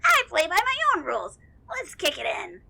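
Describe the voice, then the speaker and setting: A woman playing a tiny, hot-headed, excitable loose-cannon sidekick in a comic audio drama. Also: high voice, normal speed, gravelly, exaggerated voice. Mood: brash, excited. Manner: brash, excitable, exasperated.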